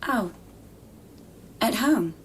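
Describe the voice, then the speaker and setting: A fairly high-voiced, eerie woman in an intimate radio play. She is hushed, childlike and searching, like someone forgetful who clings to her lover, with clear noise behind her. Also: animated, very slow. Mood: soft, puzzled. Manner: hushed, searching, many short simple questions. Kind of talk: intimate radio drama acting.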